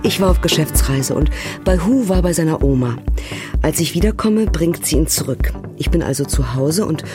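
A low-pitched assertive mother tells of family life with open exasperation. She speaks conversationally, as in a documentary radio play, with music clearly behind her. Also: animated, moderate pace. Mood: exasperated, wry. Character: exasperated, assertive German mother recounting family quarrels in Beijing.

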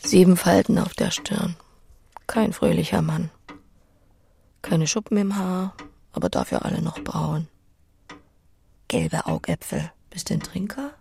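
A woman's voice: fairly low pitch, gravelly, warm tone, breathy edge, heavily acted. An actress in an audio drama playing an earthy, lonely farm woman who speaks in a plain, musing, matter-of-fact way, blunt but tender. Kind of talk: audio drama acting with inner monologue.

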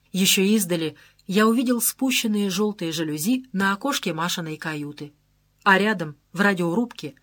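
A woman reading an audiobook aloud in a lively, conversational first-person storytelling manner. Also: mid-range voice. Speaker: audiobook narrator. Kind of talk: scripted first-person narration.

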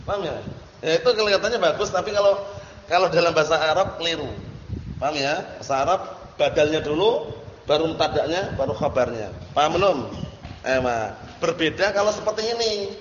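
A man's voice: on the high side, brisk. A man teaching Arabic grammar in class, informally drilling students with repeated questions and frequent checks that they understand. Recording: echoey hall, muffled sound with background noise.

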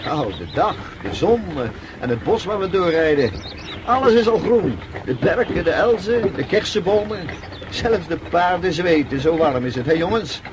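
A man's voice: a little high, sing-song, normal speed. An actor playing a simple, deferential coachman in a radio drama, chatty, folksy and delighted. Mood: cheerful wonder. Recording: muffled, noisy old radio drama recording.

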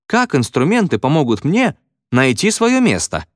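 A man voicing eager schoolchildren exclaiming and shouting demands in an audiobook. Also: on the high side, big pitch swings, moderate pace, dry recording, clean studio sound, pitched above the performer's own voice. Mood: excited.

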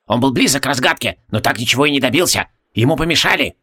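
A man voicing a frantic, cranky old mad alchemist in a fantasy audiobook, husky and barking, repeating himself excitedly. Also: medium pitch, fast talker. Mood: excited boasting.

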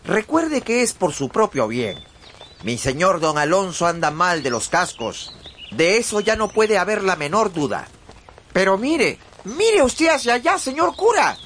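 A village barber for a radio play, high and swooping in pitch. He is plain-spoken, exasperated and emphatic, with clear ambient noise around him. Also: sing-song, measured pace, fairly bright tone, exaggerated voice. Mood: concerned, firm. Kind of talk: Spanish-language radio drama acting.

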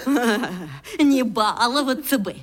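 Husky, nagging coarse stepmother. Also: fairly high pitch, big pitch swings, drawn-out words, exaggerated voice. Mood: scolding, sneering. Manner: wailing, sarcastic, folksy proverb-laden nagging. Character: coarse scolding stepmother, uneducated older townswoman, mocking and fretful. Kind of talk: Russian radio play acting.

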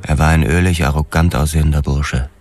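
Very deep hard-boiled detective. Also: male voice, fairly even intonation, brisk, a little mellow. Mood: sober, grim. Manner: terse, matter-of-fact. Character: hard-boiled male police detective narrating his case, dogged and sober. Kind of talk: German radio drama, first-person crime narration. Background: music.

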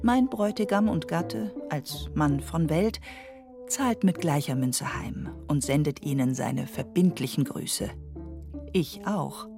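A woman's voice: low voice, lively intonation, slow pace, music in the background, exaggerated voice. A woman playing a haughty, offended gangster's wife, reading out a satirical letter in pompous formal language with bits of English. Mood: politely miffed. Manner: pompous, mock-formal with English phrases mixed in.

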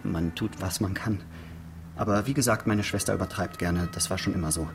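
A man's voice: sits low, fairly quick, vocal fry, soft background music. An actor playing a fussy, eager busybody of a male neighbour in a radio crime drama, precise, hesitant and mildly pompous. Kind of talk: naturalistic German radio crime drama acting.

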